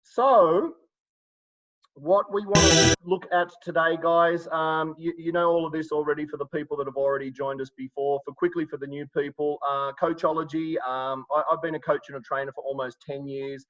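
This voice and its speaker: A man's voice: on the high side, steady tone, normal speed, fairly bright tone, highs cut off. A middle-aged Australian man from Sydney, hosting a live coaching webinar in an upbeat, encouraging way, with lots of ums and restarts. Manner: filler-heavy, restarts often, upbeat and encouraging.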